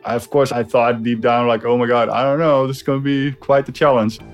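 A man's voice: mid-range voice, little pitch movement, fairly quick, faint music behind. A man being interviewed as a designer, recalling past work in a casual, informal, loosely phrased way. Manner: informal, loosely phrased, often quoting dialogue. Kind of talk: casual spoken recollection.

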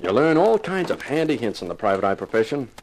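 A man's voice: medium pitch, very animated, fast talker, a little hiss or hum, rough recording. A man playing a tough, wisecracking private eye in a 1950s radio detective drama, with a wry way of talking. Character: wisecracking, hard-boiled male private eye with a grudge. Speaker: lead actor and narrator. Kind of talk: 1950s radio detective drama, first-person narration and dialogue.

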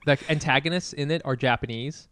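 A man, a podcast co-host who chats in an animated, rambling, profane way. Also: mid-range voice, brisk, background noise. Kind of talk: casual chat.

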